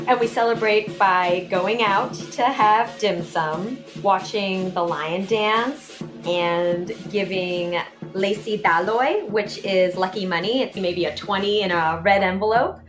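Upbeat woman speaking in a roomy space. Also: mid-range voice. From Washington, D.C. area, USA. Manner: effusive and upbeat. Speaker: performer, director and choreographer. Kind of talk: first-person audio portrait narration. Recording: roomy sound with faint music behind.

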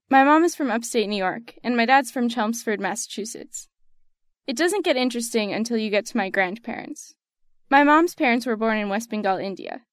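Young adult woman, General American accent, earnest personal-essay read, slightly high voice. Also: dry recording, clean studio sound. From United States. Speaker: student essayist.

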